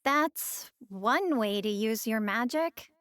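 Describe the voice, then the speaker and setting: Casting: chatty, sweet anime girl-next-door in her youth, high lilting voice, very animated, conversational. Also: takes it slowly, no room sound, clean studio sound. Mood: curious, chatty. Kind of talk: English-dubbed anime game voice acting.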